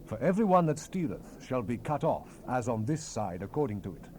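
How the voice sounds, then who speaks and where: Man acting a solemn angel, loud and swelling. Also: mid-range voice, very animated, a little fast, lightly acted. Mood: stern, proclaiming. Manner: declamatory, grave. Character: solemn, authoritative angel messenger explaining visions. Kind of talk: dramatized scripture recording. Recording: hissy 1970s cassette rip.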